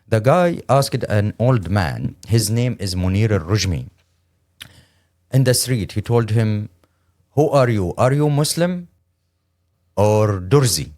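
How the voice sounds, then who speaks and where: A middle-aged man from Syria with a Syrian Arabic accent, speaking English as a second language in an earnest, halting, emphatic interview account. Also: on the low side, very animated, darkish tone. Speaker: interview guest, lawyer and humanitarian.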